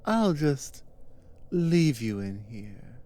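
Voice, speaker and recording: Casting: woman to play a domineering giantess captor for ASMR drama, coolly impatient and taunting, slow delivery with wide swings in pitch. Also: very animated, warm tone, exaggerated voice. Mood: cold threatening. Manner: commanding, deliberate, taunting, with pauses and breathy drops.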